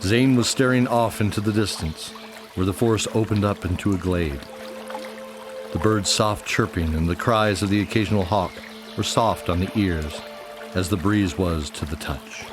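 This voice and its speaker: A man's voice: deep voice, moderate pace, over music. A man narrating a scripted fantasy audio drama.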